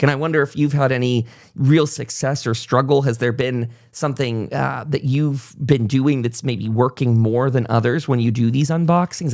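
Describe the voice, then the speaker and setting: Man, mid-pitched voice, casual interview host, reflective, rambling, thinking out loud. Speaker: podcast host and content creator.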